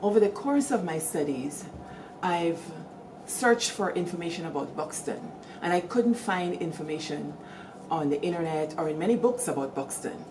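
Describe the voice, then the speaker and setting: A middle-aged Guyanese woman hosting, telling village stories in a lively, animated way and calling out to her listeners. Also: on the low side, normal speed, background noise. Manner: lively, animated storytelling with call-outs to listeners. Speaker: storyteller host. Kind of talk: storytelling talk.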